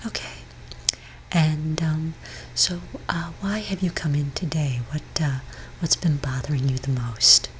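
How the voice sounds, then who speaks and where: Whispering woman, gentle ASMR therapist. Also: low voice, fairly even intonation, a little hiss or hum, low-quality recording. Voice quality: breathy, whispery. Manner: gentle, hesitant, halting counsel. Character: gentle female therapist for witches. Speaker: ASMR roleplayer playing a therapist. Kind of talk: soft-spoken ASMR roleplay.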